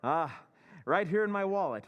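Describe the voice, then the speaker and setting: A man playing a scheming robot that poses as a husband in an old-time radio drama recreation, smooth and falsely sweet with a menacing edge. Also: sits high, animated, normal speed, dull audio.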